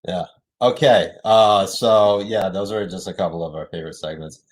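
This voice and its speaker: A man's voice: sits low, steady tone, normal speed. A man hosting a podcast, telling stories in a rambling way full of fillers. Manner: rambling, filler-heavy storytelling. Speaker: podcast host. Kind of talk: casual podcast chat.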